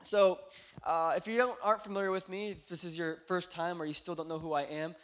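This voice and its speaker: A young man in his late twenties preaches to his church with a high, light voice. He keeps it lively with jokes and playful asides, acting out little stories as he goes. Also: a little fast. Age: 20s. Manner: joking, animated storytelling. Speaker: pastor. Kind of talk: sermon.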